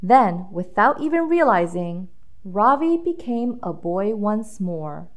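A woman's voice: medium pitch, big pitch swings, slow. A woman reading a children's storybook aloud with dramatic emphasis, drawing out key words for young listeners.

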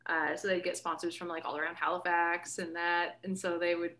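A young Canadian woman who is a musician and a guest on a podcast, chatting in a lively, upbeat way over a video call and using lots of "like". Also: on the low side, fairly quick. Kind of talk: casual chat. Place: home room, video call. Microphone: laptop camera mic, video call.

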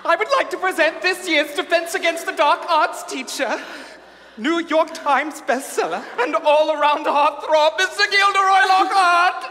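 Showy school headmaster, really high bright voice, big ceremonious announcing, comic stage show. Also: male voice, unhurried, heavily acted. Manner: ceremonious, projecting, declamatory announcements. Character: grandiose, showy school headmaster addressing pupils. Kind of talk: comic staged musical reading. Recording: echoing hall, light noise.

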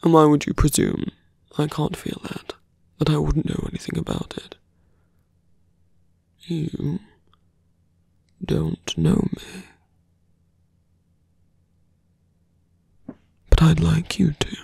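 A man voicing a seductive, sardonic fantasy villain in an intimate, breathy ASMR roleplay, murmuring slowly close to the mic. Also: on the high side, level tone, mellow, no echo, full character voice. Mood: teasing, intimate. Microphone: close microphone, dry.

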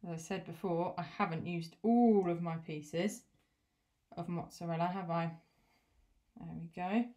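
A young woman teacher in her home kitchen, gently explaining each cooking step to children as she works. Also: fairly low pitch, fairly even intonation, a little slow.

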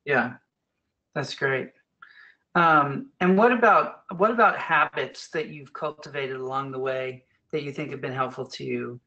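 A man's voice: medium pitch, measured pace, sharp tone, dull audio. A man moderating a conference call, who hands the floor to guests and puts questions to the speaker, agreeing often with short replies. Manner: encouraging, with frequent short affirmations.

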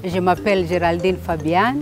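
Tanzanian woman in her fifties, lowish voice, slow measured answers, music underneath. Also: steady tone. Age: 50s. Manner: measured, halting. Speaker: commercial officer. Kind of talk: interview answers. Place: outdoors in a courtyard. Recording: music playing behind.

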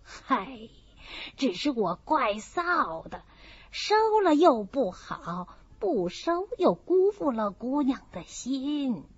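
A woman's voice: high voice, very animated, slow, breathy edge, no echo, exaggerated voice. A woman voicing a good-humoured, humble old peasant granny in an audiobook, folksy and full of exclamations. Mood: grateful, embarrassed. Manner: folksy, exclaiming, self-effacing. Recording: dull, muffled audio.

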